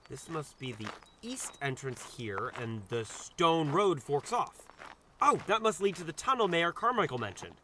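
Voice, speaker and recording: A man's voice: mid-range voice, noisy background, lightly acted. An actor playing an awkward, anxious young male doctor in an audio drama, rambling and scolding himself in a flustered, hesitant way. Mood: watchful, hesitant. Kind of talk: audio drama dialogue with first-person inner monologue.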